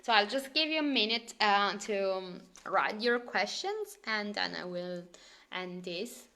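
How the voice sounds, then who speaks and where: A woman's voice: medium pitch, sing-song, a little slow, bright, forceful tone. A woman with an Italian accent, a teacher chatting casually in a live stream, laughing often and reading out viewers' comments. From Italy.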